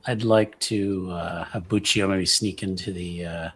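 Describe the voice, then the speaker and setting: Husky low-voiced man playing a tabletop game. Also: steady tone, unhurried. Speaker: player in a tabletop roleplaying game. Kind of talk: casual in-game description of a character's actions.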